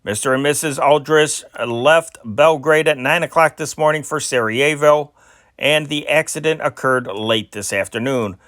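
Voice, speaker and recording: A man's voice: a little high, moderate pace, no room sound. Man reading old newspaper stories, dry sound. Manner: steady reading with occasional restarts. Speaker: amateur news reader and channel host.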